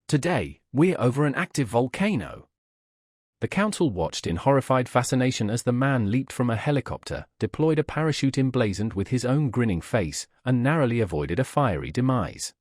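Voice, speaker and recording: Male narrator, mid-range pitch with plenty of movement, wry scripted sci-fi storytelling. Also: expressive, brisk, few pauses, a little mellow, no room sound, studio quality.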